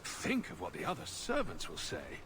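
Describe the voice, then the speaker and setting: An actor playing a witty, roguish fantasy hero in a video game, sarcastic and playful. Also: male voice, low-pitched, wildly swooping pitch, moderate pace, slight background noise, heavily acted, rough recording. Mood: playful sarcasm. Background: game music.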